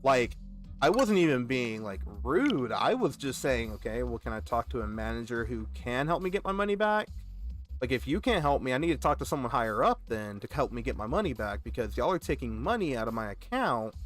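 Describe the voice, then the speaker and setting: Young man in his twenties, gaming host, rambling and animated, mid-pitched, faint music underneath. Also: brisk. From Texas. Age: 20s. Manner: animated, complaining storyteller. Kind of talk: casual rambling chat while gaming.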